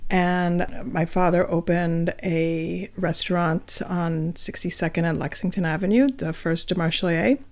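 Woman from France, middle-aged, low voice, halting with fillers, casual interview talk. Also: steady tone, a little mellow. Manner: hesitant, many ums and uhs. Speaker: restaurant owner, guest.

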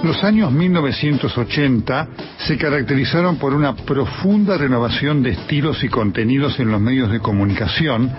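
A man with an Argentine Spanish accent narrates a radio history in a measured, formal way. His voice sits at a middle pitch. The sound is slightly dull, with clear music playing underneath. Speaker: documentary narrator.

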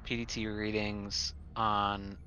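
Bright-voiced, slow-talking man on lo-fi mic. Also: fairly low pitch, level tone. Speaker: player. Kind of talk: casual tabletop game chat. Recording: dull lo-fi mic, background noise.

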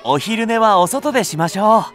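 An actor playing a gentle, patient young male nursery teacher, speaking in a soft, soothing way. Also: high-pitched, lively intonation, a little fast, soft background music. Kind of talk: anime voice drama acting.

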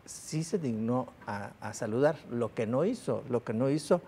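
A man's voice: medium pitch, expressive, moderate pace, dark tone, slight background noise. A Mexican man commenting on the news in a panel, conversational, with frequent hesitant fillers.

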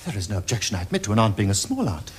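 An actor playing a witty, teasing young gentleman with an upper-class English accent, in a theatrical period comedy. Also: fairly low pitch, big pitch swings, very fast. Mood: teasing, insistent. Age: young adult. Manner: theatrical, epigrammatic, crisp upper-class phrasing. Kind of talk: period stage comedy acting. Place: furnished studio drawing-room set.

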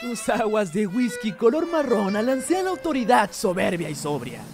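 A man's voice: sits high, very animated, normal speed. A man voicing a flamboyant, mischievous young cat bootlegger and poet in a cartoon dub, theatrical and teasing, with a Mexican Spanish accent. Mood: grandiose, poetic. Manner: grandiloquent, teasing. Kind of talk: theatrical animated fandub. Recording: music behind the voice.

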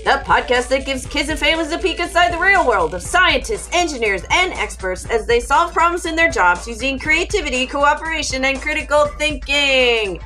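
A woman's voice: high-pitched, expressive, normal speed, few pauses, sharp tone, faint music behind. A woman hosting a science podcast for kids, enthusiastic and exclamatory. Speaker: podcast host. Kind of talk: upbeat kids' podcast hosting.

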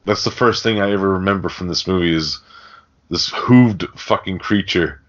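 A low-voiced man talks casually as a podcast host. He rambles and swears freely, and the recording is dull and lo-fi. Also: moderate pace. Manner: rambling, profane. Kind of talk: casual film discussion. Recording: dull, lo-fi audio.

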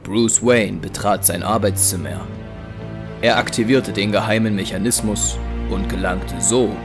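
A man narrates an audio drama in a low, unhurried voice. Loud music plays beneath his scripted storytelling.

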